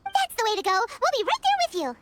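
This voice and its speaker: A woman voicing a small, childlike fairy companion in a video game, squeaky, perky and eager. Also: huge pitch swings, a little fast, heavy background noise, exaggerated voice. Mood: puzzled, encouraging. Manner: perky, refers to self in third person. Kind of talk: video game character acting. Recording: music behind the voice.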